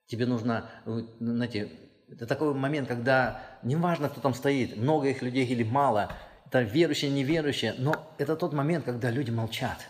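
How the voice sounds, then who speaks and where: A man preaching a sermon as pastor, speaking earnestly and pressing his points with rising emphasis. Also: brisk. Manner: earnest, emphatic, rises in pitch and force when stressing a point. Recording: long hall reverb.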